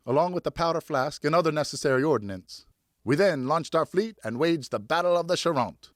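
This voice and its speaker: A man narrating an audiobook as a dramatic, theatrical storyteller with expressive scripted delivery. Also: fairly high pitch, no echo, clean studio sound. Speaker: audiobook narrator, professional voice actor.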